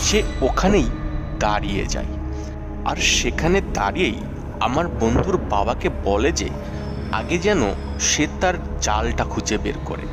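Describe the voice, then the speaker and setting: A man narrating a scripted ghost story in short, measured, suspenseful phrases. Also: medium pitch. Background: background music.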